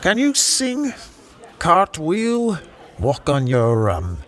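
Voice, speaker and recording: Male actor as tactful troupe leader, brisk, instructive, animated intonation, fairly high pitch, audio comedy. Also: exaggerated voice. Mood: persuasive. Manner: polite, instructive. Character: brisk, tactful director of a traveling theater troupe. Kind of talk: audio drama comedy acting.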